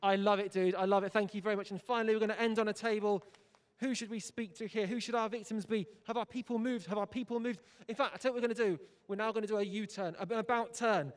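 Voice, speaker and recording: An upbeat young man rattles off quick, hyped questions to fans at an outdoor fan zone. He talks fast and jokes with the people he meets. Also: sits high, fairly even intonation. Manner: upbeat, hyped, jokey with guests. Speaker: roving fan zone presenter. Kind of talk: energetic outdoor broadcast chat.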